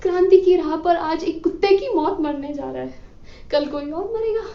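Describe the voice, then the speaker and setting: A muffled, echoey room recording of a very high voice: a woman playing a condemned revolutionary man in a stage monologue. He is bitter and scornful, declaiming accusations and sarcastic commands at an apathetic crowd. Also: moderate pace, vocal fry. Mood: exasperated, sarcastic. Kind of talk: theatrical dramatic monologue.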